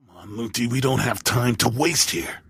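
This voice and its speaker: Very husky hired thug. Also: male voice, mid-range voice, moderate pace. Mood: casual menace. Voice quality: husky, rough. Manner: offhand, matter-of-fact menace. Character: cocky, casual male hired thug. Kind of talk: dramatic video game acting.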